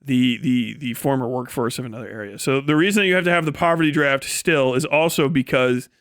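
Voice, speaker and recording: A man's voice: mid-range voice, a little fast, a bit bright, clean tone, no echo, clean studio sound. A man livestreaming casual, opinionated talk, blunt and profane, rambling through explanations that mix formal vocabulary with slang. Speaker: live streamer.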